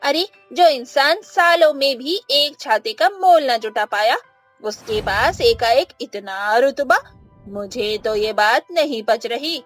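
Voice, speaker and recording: A woman acts a jealous village gossip from a storybook tale. Her voice is high, suspicious and full of insinuation. Faint music runs underneath. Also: animated, slow, a bit bright, exaggerated voice.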